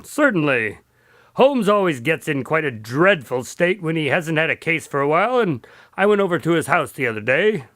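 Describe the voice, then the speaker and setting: An actor playing a sensible, wry doctor and loyal friend in a radio drama, conversational and teasing. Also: male voice, on the high side, very animated, moderate pace, fairly bright tone, dry recording, studio quality. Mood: friendly, chatty.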